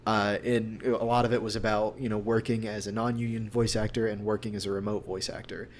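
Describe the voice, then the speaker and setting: Man, American, low pitch, rambling thoughtful manner, casual interview chat, voice actor. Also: flat delivery, fairly quick, slight background noise. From central Arkansas, United States.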